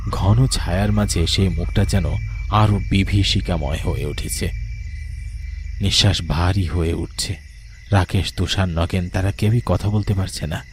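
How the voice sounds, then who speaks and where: A man's voice: low-pitched, steady tone, a little slow, pauses often, slight background noise. A man giving dramatic, scripted narration of a ghost story.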